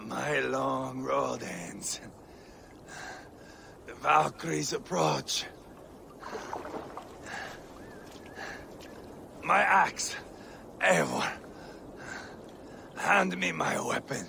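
An actor playing a treacherous, unhinged Viking warlord in a game cutscene, taunting with sudden shouts. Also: male voice, animated, very slow, gravelly, long pauses, a little hiss or hum, exaggerated voice. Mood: dying. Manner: taunting, swings between low menace and shouting. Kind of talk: video game cutscene acting. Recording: music under dialogue.

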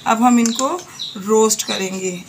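A woman's voice: fairly high pitch, steady tone, measured pace, noisy background. A woman with a Pakistani Urdu accent hosting a home cooking tutorial, talking viewers through each step in a chatty way. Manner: chatty, repeatedly points things out to viewers. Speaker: cooking channel host. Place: home kitchen.

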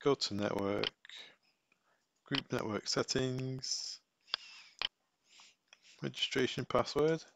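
A man giving a casual step-by-step software tutorial, thinking aloud as he works. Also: mid-range voice, takes it slowly, creaky, long pauses, background noise.

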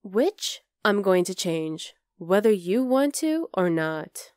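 A woman's voice: medium pitch, big pitch swings, slow, darkish tone, no room sound, clean studio sound. A woman playing a young wolf-girl girlfriend in an intimate ASMR roleplay, affectionate, teasing and coaxing. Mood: fond teasing. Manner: cooing, playful, coaxing.

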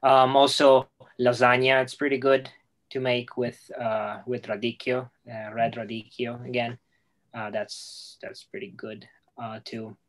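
A young man with an Italian accent speaks very slowly in a relaxed video call chat. He pauses often and searches for words as a guest explaining recipes. He is heard through a webcam from a room at home. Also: medium pitch. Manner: hesitant, many fillers and restarts. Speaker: guest cook.

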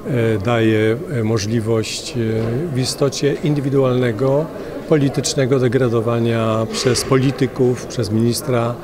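An older man, a member of parliament, answering interview questions haltingly and with many filler sounds. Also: mid-range voice, steady tone, a crowd in the background. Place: large indoor parliament hall. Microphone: handheld interviewer mic.

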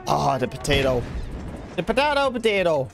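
A man's voice: a little high, sing-song, normal speed, creaky, over music. A young man livestreaming a game, chatting casually with viewers and stuttering now and then. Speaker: gaming livestreamer. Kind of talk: casual livestream chat while playing. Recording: game sound mixed loud behind voice. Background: game gunfire and explosions.

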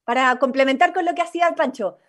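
Chilean lady leading a radio play workshop on a video call, high voice, upbeat and encouraging. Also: fast talker. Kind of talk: directing an interactive workshop. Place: indoors at home, video call. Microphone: computer mic on video call.

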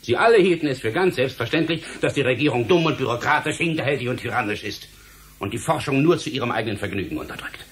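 An actor playing a stern, exasperated government official in a radio play, lecturing in an emphatic, theatrical, rhetorical way. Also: male voice, mid-range voice, animated, fast, a little hiss or hum, natural voice. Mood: bitter, resigned. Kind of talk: German radio play acting.

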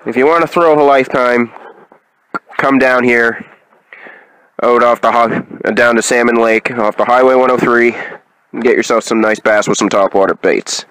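A young man vlogging from a small boat on a lake, narrating his fishing and getting loudly excited at every catch. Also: medium pitch, moderate pace, smooth, no room sound, low-quality recording. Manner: excitable, whoops and laughs at strikes.

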